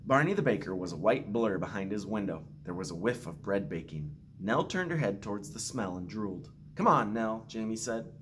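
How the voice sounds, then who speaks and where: A man's voice: fairly low pitch, animated, a little fast, few pauses, slight background noise. A man reading a children's storybook aloud expressively, with playful asides. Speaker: school staff member reading aloud to children.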